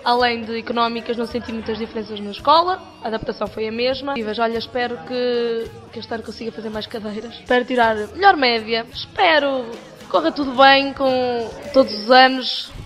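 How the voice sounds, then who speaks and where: A woman's voice: on the high side, a little fast, a bit bright, faint music behind. A young adult woman with a Portuguese accent, a university student answering a vox pop spontaneously.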